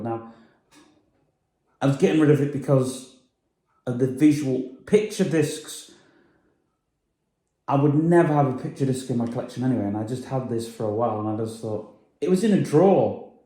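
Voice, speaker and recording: A man's voice: medium pitch, dark tone, reverberant. A man chatting casually on his vlog, rambling and hesitant with lots of fillers. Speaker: vlogger. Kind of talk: casual chat.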